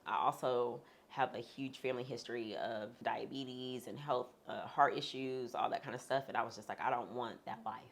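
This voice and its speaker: Her voice sits extremely low and has a gravelly rasp to it. She is a woman being interviewed and talks frankly and conversationally, with a few humorous asides. Her husky tone stays steady throughout. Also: normal speed, few pauses. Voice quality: deep, husky voice. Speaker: interview guest. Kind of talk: candid sit-down conversation.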